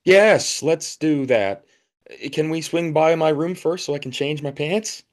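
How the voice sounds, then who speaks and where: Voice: medium pitch, a little slow, lightly acted. An actor in an audio play voicing an anxious, exasperated hotel guest who sputters and hesitates wryly. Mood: rattled.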